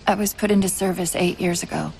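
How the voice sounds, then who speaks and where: An actress playing a quick-witted, anxious female military AI companion whose mind is failing, in cinematic game style, wry, urgent and emotive. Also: fairly low pitch, steady tone, gravelly, a little mellow, a little hiss or hum, rough recording. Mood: defensive, brittle. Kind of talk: cinematic video game voice acting.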